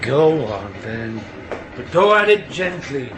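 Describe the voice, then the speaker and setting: A gruff middle-aged West Country railwayman. He is a bossy, teasing dad who chides in plain working talk. It is naturalistic radio drama with some background noise. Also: medium pitch, very animated, slow pace. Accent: English West Country. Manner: gruff, chiding, colloquial working man's talk.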